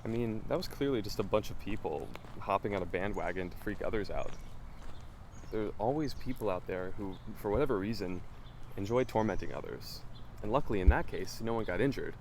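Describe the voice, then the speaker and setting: A low-pitched American man acting as a determined amateur investigator in an audio drama. He reasons aloud, earnest and curious, with some background noise on the recording. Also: brisk, a little mellow, lightly acted. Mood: earnest, resolute. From Los Angeles, United States. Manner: thoughtful, earnest, questioning. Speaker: investigative podcast host. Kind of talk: naturalistic audio drama dialogue.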